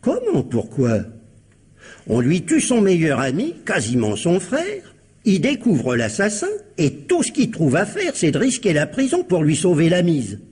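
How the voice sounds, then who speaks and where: An actor playing a sceptical, sardonic police inspector who talks colloquially in a French radio crime drama. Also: male voice, high-pitched, wildly swooping pitch, darkish tone, breathy edge, full character voice. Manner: colloquial, mocking, drawn-out phrasing.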